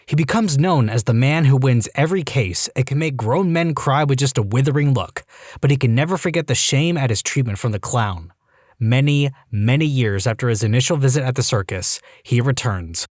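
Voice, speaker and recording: A man narrating posts aloud in a lively, wry storytime style, close to the mic. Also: mid-range voice, moderate pace, no echo.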